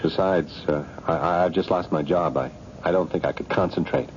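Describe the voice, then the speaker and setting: A man's voice: sits low, moderate pace, a little mellow, natural voice. An actor in a radio mystery drama playing a wary, hesitant, plain-spoken man down on his luck. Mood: reluctant, downcast. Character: wary, rusty chess player who just lost his job. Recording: dull, noisy 1970s radio drama recording.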